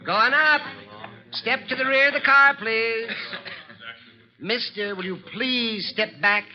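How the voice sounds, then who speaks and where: A young elevator operator in vintage radio drama. His very high, slow, officious voice sits over crowd sound on a dull recording. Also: fairly even intonation. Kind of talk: 1940s-50s radio drama acting.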